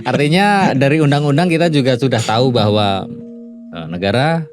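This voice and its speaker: A man hosting a radio talk show. He asks long, winding questions in a relaxed chatting manner. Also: mid-range voice, normal speed, soft background music. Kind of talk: casual interview chat. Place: radio studio.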